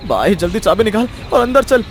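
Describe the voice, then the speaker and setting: Man acting an excitable young buddy, high-pitched. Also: brisk, noisy background. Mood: alarmed. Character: carefree young male friend turned terrified by a ghost. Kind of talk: acted dialogue in horror storytelling.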